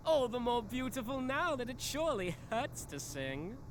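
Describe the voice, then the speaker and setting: A woman voicing a tormented, mad gothic painter who relishes pain, hushed, slow and theatrical, sounding faint and distant. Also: a little high, sing-song, voice pushed up.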